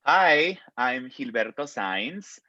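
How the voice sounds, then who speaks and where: A man's voice: mid-range voice, big pitch swings, slow pace, pushed and bright, no echo. A man from south Texas, an actor, casually introducing himself over a video call. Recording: dull, dry video-call audio.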